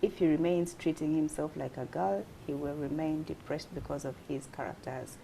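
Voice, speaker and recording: A woman's voice: low-pitched, fairly even intonation, normal speed, vocal fry, mellow, slight background noise, low-quality recording. A woman with a Ugandan accent hosting a talk show, speaking directly and giving advice. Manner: direct, advising. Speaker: host.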